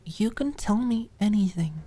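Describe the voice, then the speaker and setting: A woman's voice: medium pitch, animated, unhurried, warm tone, voice pushed up. A woman voicing a blunt but caring young woman in an audiobook drama, talking casually and insistently to her friend. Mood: soft, reassuring. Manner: casual, emphatic, insistent, uses filler "like".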